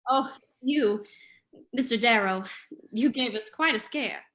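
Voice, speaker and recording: A woman's voice: on the high side, a little slow, fairly bright tone. A woman playing a polite, anxious lady companion to a sleuth in an old-time radio drama, proper and theatrical. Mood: polite, uneasy.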